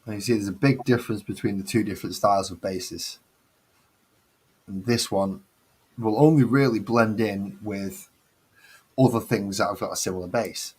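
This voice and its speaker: This is a Mancunian man hosting a relaxed hobby chat. His voice is slightly husky and sits low. He talks in a chatty, self-mocking way and grumbles for fun. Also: moderate pace, long pauses. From northern England. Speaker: host.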